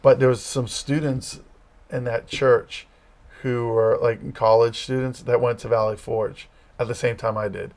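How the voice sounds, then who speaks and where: A man's voice: medium pitch, fairly even intonation, unhurried, darkish tone. A middle-aged man from Massachusetts with a Massachusetts accent, chatting as a guest and telling rambling stories full of fillers. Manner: rambling, storytelling, many fillers. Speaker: campus ministry leader, guest. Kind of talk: casual interview chat.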